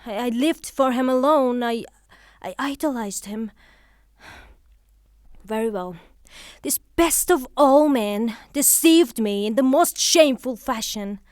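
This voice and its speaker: An actress playing a proud, passionate young widow in a theatrical audio drama, haughty and dramatic in manner. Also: female voice, on the high side, slow pace, pauses often, a little mellow, clear, resonant tone, no echo, studio quality. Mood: wounded, passionate.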